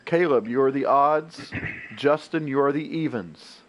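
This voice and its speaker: A man's voice: medium pitch, measured pace, slight background noise, low-quality recording. A man in his thirties with a nasal, congested voice from a cold, hosting a live golf game stream and commentating his shots in a headset. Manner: upbeat, welcoming, talks through his own shots. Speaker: stream host. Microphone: gaming headset.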